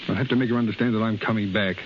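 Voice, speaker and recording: An actor playing a young American naval lieutenant, a stubborn and conflicted lover, in 1930s radio drama style. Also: male voice, lowish voice, steady tone, rapid-fire, lightly acted. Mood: defensive. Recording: muffled, hissy 1930s radio broadcast recording.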